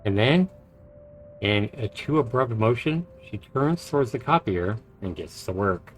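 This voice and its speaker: A man's voice: medium pitch, animated, measured pace. A man who streams games, reading visual novel narration aloud and reacting to it. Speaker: game streamer. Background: game music.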